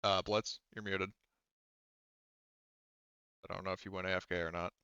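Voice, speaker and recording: A man's voice: sits low, flat delivery, moderate pace, bright, forceful tone, clean tone, dry recording, muffled recording. A man casually game mastering a tabletop RPG in a hesitant, conversational, wordy way.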